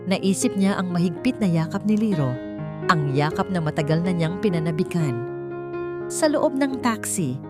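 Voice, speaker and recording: A woman narrating a scripted dramatic story in measured, short phrases. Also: on the low side.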